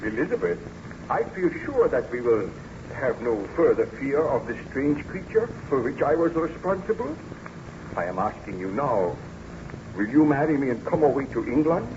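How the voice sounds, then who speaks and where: An actor playing an anguished yet ardent baron scientist in old-time radio drama, formal and melodramatic, on an old radio recording. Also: male voice, medium pitch, big pitch swings, normal speed, highs cut off, background noise, close to an everyday voice. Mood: ardent, earnest.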